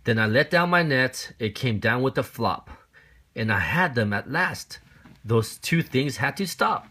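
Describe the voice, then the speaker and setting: A man with a mid-pitched voice reads a children's story in verse. He is expressive and keeps a rhythmic, sing-song delivery, as a parent reading to a child. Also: measured pace. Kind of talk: expressive children's picture-book read-aloud.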